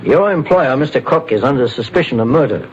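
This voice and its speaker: An actor playing a calm, authoritative private investigator in a 1940s radio drama. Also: male voice, mid-range voice, natural voice. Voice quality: measured, authoritative. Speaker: detective lead. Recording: dull lo-fi old radio recording, faint hiss.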